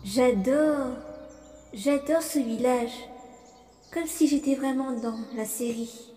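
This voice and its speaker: Woman voicing a high, slow-spoken curious puppet boy. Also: dark tone, faint music behind. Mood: wondering, quiet. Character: curious, easily bewildered young boy turned into a puppet. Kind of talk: amateur comic dub acting.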